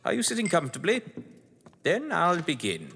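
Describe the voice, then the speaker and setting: A man's voice: a little high, huge pitch swings, normal speed, vocal fry, fairly bright tone, big-room sound, slight background noise, full character voice. A man playing a suave, scheming, condescending English crime novelist in a theatrical audio drama, with wry, florid delivery. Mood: sly, conspiratorial. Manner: pompous, wry, florid phrasing.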